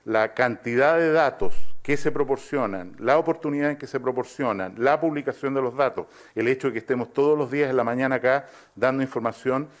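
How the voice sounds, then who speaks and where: A man, a government official, answering a question at a press conference in a formal, halting way. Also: mid-range voice.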